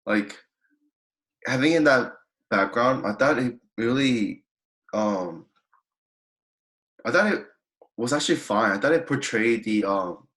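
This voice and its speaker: A man's voice: mid-range voice, lively intonation, measured pace, long pauses, fairly bright tone. A man casually discussing a film with a friend, agreeable and tentative. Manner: agreeable, short backchannels. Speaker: co-host reviewing a film. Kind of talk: casual movie review chat. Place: car.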